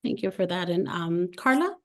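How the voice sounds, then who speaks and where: Lower-voiced woman moderating a forum. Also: animated, normal speed. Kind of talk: informal event moderation.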